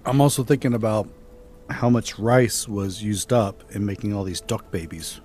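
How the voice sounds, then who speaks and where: A middle-aged man hosting a podcast, casually telling spooky stories with halting, repeated starts. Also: lowish voice, darkish tone. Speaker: podcast host and storyteller.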